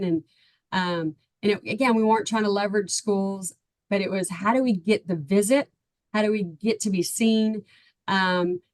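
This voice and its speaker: Chatty Texan mom, dry close sound. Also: female voice, mid-range voice, no room sound. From Texas, USA. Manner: chatty and earnest, many ums and tag "right?". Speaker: parent of a baseball player, client guest. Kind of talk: casual interview storytelling.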